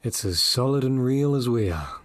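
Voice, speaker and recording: An actor playing a calm, assured, persuasive guide in a sci-fi audio drama, speaking in a low-key, coaxing way. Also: male voice, moderate pace, background noise, lightly acted. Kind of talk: full-cast audio drama acting.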